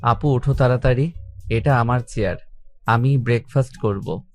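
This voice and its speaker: A man's voice: mid-range voice, moderate pace, darkish tone, lightly acted. Male actor for petulant, mischievous lovestruck boy, mid-range voice, audio love drama. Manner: petulant, complaining. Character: sulky mischievous teenage boy secretly in love with older cousin.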